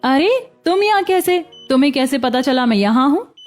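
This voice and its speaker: A kind but proud young beggar girl from a cartoon story, acted by a woman. Her voice is high and earnest, with music underneath. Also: expressive, moderate pace.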